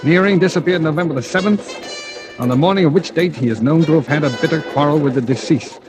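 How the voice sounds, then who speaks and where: A man's voice: on the high side, quick pace, music in the background, lightly acted. An actor playing a desperate amnesiac man wanted for murder in a 1940s radio thriller, urgent and insistent, on an old radio recording. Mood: grim, brooding. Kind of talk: 1940s radio thriller acting with first-person narration.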